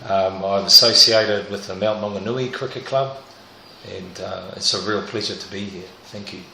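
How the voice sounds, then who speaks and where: A man's voice: on the low side, fairly even intonation, normal speed, vocal fry, sharp tone, a little hiss or hum. A man, a cricket player, speaking earnestly and proudly. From New Zealand. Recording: echoey room.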